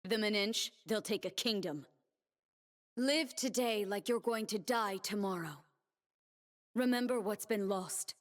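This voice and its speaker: An actress playing a solemn, determined young woman warrior in a video game, speaking in a measured, earnest, resolute way. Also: medium pitch, lightly acted. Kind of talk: scripted video game character voice lines.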